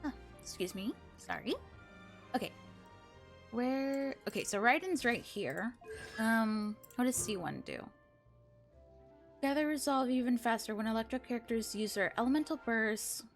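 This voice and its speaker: A woman live streaming a video game, chatting casually with viewers and thinking aloud in an expressive, exclamatory way. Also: a little high, measured pace, pauses often, soft background music. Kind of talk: casual chat with stream viewers while gaming.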